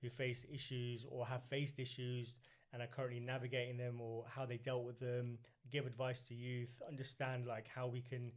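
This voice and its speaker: A man's voice: medium pitch, monotone, moderate pace. Southern English man hosting a podcast. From UK, grew up in Reading. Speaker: podcast host. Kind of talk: casual podcast introduction and interview.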